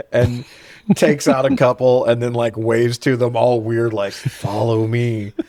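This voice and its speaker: A man's voice: mid-range voice, little pitch movement, takes it slowly, dark tone, no echo. A man co-hosting a podcast, chatting casually about films in a slangy, profane, joking way. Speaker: podcast co-host reviewing films. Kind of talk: casual banter, film commentary.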